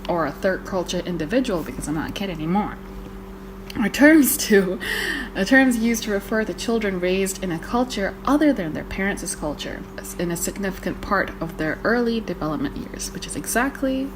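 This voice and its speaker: A woman's voice: medium pitch, lively intonation, moderate pace, background noise. A young woman from Malaysia, co-hosting a podcast in casual chat, swearing freely and using lots of fillers. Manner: chatty, swearing, many fillers. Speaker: podcast co-host.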